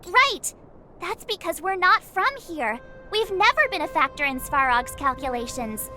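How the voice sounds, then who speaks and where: Outgoing teenage girl adventurer for a game: extremely high, bright, animated delivery, music underneath. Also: a little slow, exaggerated voice. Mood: eager, persuasive. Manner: animated, chatty, emphatic. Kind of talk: video game voice acting.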